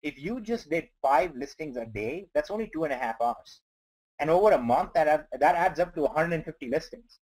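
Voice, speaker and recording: Man from india, non-native english speaker, webinar trainer, slightly high voice, earnest coaching. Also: lively intonation, fairly quick, a little mellow, poor audio. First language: not English. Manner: earnest, coaching, breaks numbers down step by step. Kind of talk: motivational webinar talk.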